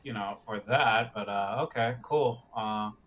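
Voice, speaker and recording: A man's voice: lowish voice, measured pace, muffled recording, voice pushed down, natural voice. Man playing a laid-back spaceship crewman, noisy background. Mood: relaxed, friendly. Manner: casual, rambling, hesitant. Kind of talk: improvised tabletop roleplay. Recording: clear background noise.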